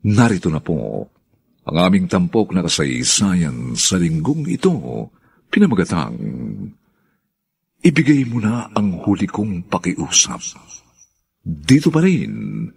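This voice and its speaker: A man announcing a Filipino radio drama in a scripted, dramatic style with a raspy voice. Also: low-pitched, huge pitch swings, slow pace, long pauses, darkish tone. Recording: music behind the announcer. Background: music.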